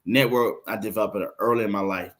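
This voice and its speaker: A man's voice: on the low side, fast. Southern man in his fifties telling stories. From Florida. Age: 50s. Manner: animated storyteller, acts out quoted voices. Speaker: podcast guest, playwright. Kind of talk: casual interview talk.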